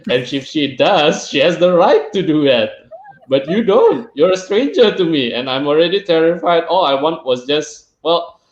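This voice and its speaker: A man's voice: fairly high pitch, expressive, normal speed. A man who grew up in Singapore, hosting a casual interview, talking in a thoughtful way and getting emphatic when he jokes. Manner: thoughtful, reflective, grows emphatic when joking. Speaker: podcast host. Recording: echoey, dull lo-fi room sound.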